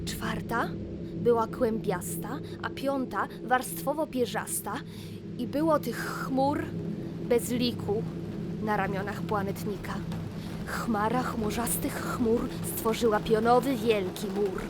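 Female storyteller for rhyming children's tale; very slow, higher-pitched delivery, prominent music underneath. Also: heavy background noise. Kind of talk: rhymed children's fairy-tale narration. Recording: music behind the voice. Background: loud music.

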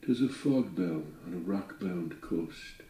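A man voicing proud skipper father, poem recital, declaiming, dark mellow tone, roomy sound. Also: low voice, animated, slow, far from the mic. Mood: confident, reassuring. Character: proud, scornful sea skipper and protective father.

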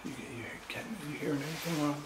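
A man filming himself as he walks through an abandoned house, talking quietly and uneasily to his viewers. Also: on the high side, rapid-fire. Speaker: vlogger exploring an abandoned house. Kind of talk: casual handheld commentary. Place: empty derelict house, indoors. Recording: echoey bare room, noisy.

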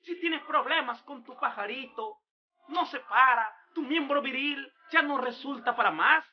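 A performer voicing a swindling male preacher, delivering a grandiose, booming mock sermon on a dull lo-fi recording. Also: a little slow, slight background noise. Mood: preachy. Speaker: comic character pastor.

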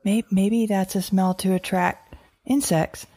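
A woman's voice: medium pitch, fairly even intonation, unhurried, dark tone, slightly rough. An actress playing an anxious but defiant mother surviving a wild world in an audio drama monologue, fretful and questioning herself in broken phrases. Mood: angry, shaken. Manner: fretful, self-questioning, fragmented, repeating herself. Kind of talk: audio drama monologue, recorded-journal narration.

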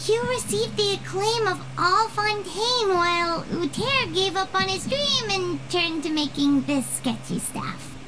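A woman voicing a small, childlike, excitable fairy companion in a video game, squeaky and blunt. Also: measured pace, few pauses, background noise. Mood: indignant. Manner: exclamatory, blunt.

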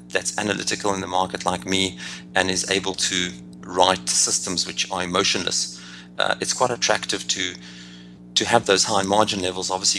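Deep gravelly South African man. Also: sharp tone, a little hiss or hum, poor audio. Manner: analytical, halting, many restarts and fillers. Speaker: guest trader. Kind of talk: podcast interview answers.

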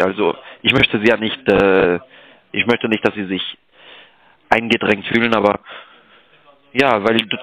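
A man's voice: fairly low pitch, fairly bright tone, no room sound, low-quality recording. A man making a polite sales call, deferential, apologetic and hesitant in the way he talks. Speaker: cold-calling sales agent.

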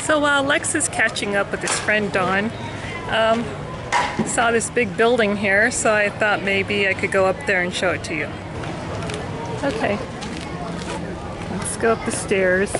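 A woman's voice: medium pitch, a little slow, long pauses, very noisy. A woman in her forties co-hosting a travel vlog, chatty and explaining as she films herself at arm's length. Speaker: vlog co-host. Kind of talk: casual travel vlog narration. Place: outdoors at a historic fort. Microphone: phone held at arm's length.

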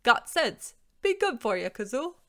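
A woman performs an old gray-green gentleman dragon for a storybook. He is blustery and fussy yet kindly. His old-fashioned exclamations come out loud and raised in pitch. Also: sing-song, measured pace, full character voice. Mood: genial, approving. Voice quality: congested, sneezy.

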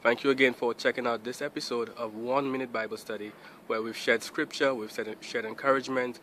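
A man's voice: medium pitch, steady tone, fairly quick, fairly bright tone. A young adult man hosting Bible study videos, talking casually and directly to viewers from outdoors. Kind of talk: casual direct-to-camera vlog greeting. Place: outdoors beside a building. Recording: outdoor traffic and ambient noise.

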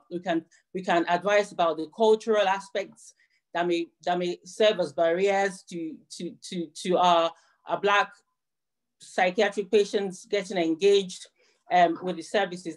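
A middle-aged woman speaking at an ordinary pitch, earnest and urging as she gives a prepared talk. She sometimes stumbles and repeats a word. It is heard through a video call from a small room. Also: low-quality recording. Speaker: webinar panelist. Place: small room at home, indoors.

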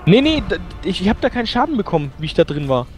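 A young man playing a video game with a friend, chatting casually and swinging between mumbling and excited yelling. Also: high voice, racing. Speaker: let's play host. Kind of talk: casual co-op gaming chat.

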